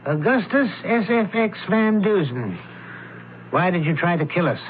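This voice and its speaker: Male fussy, clipped, commanding scholar-detective, slightly high voice, radio mystery, dull vintage broadcast sound. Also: big pitch swings, measured pace. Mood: probing. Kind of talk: radio mystery drama acting. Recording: muffled, faintly noisy old radio broadcast.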